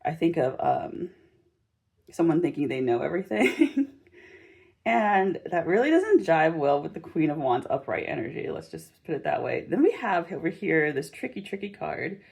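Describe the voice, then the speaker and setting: A woman hosting a casual, chatty tarot reading in a gentle, encouraging and informal manner, with a slightly husky voice. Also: low-pitched, sing-song, normal speed. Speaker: tarot reader and channel host.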